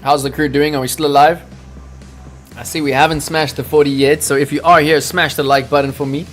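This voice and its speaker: A man hosts a live crypto trading stream. He sounds hyped and salesy, and he keeps calling out to his chat. His voice sits a bit high, with soft music underneath. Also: flat delivery, a little fast. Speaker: crypto trading livestream host.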